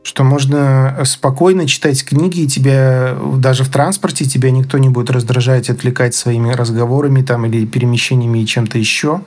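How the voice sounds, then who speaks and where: A man's voice: mid-range voice, fairly even intonation, a little fast, few pauses, a little mellow. A man around forty from Belarus, telling his personal story in a hesitant, reflective, unscripted way. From Minsk, Belarus. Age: 30s to 40s. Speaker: interview guest, playwright.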